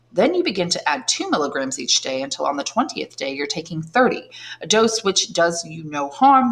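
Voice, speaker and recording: Woman reading as a courteous worldly count, measured lecturing manner, lowish voice, storybook reading. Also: a bit bright. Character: suave worldly nobleman, the Count, calm and knowing.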